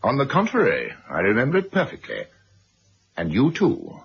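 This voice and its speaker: A man's voice: mid-range voice, wildly swooping pitch, normal speed, gravelly, husky, heavily acted. An actor playing a stern, guarded middle-aged tycoon father, clipped and dry, in a 1970s radio drama. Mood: guarded, curt. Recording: dull, noisy old radio recording.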